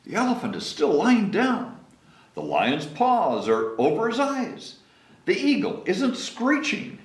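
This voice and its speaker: A man's voice: high-pitched, huge pitch swings, takes it slowly, pitched above the performer's own voice, heavily acted. A man voicing a fretful, easily alarmed zookeeper who wails and exclaims in a children's picture book. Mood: worried, wailing. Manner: wailing, exclaiming. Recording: echoey room.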